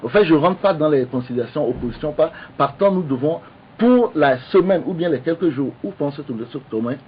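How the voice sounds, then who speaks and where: A man, an opposition politician debating on the radio, halting with many restarts but insistent. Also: on the high side, lively intonation, highs cut off, slight background noise. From Togo.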